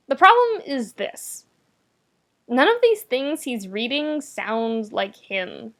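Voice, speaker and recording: A woman with a fairly high voice narrates a story like an audiobook. Her delivery is wry and conversational, leaning on key words for emphasis. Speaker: podfic reader, narrator. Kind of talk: scripted narration of fan fiction, expressive audiobook reading.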